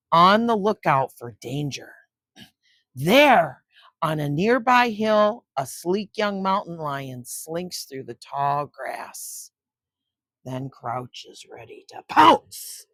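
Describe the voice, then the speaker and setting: An older woman hosting story time for children, reading a picture book aloud and chatting gently to young listeners in between. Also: low-pitched, animated, takes it slowly, dry recording. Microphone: close microphone.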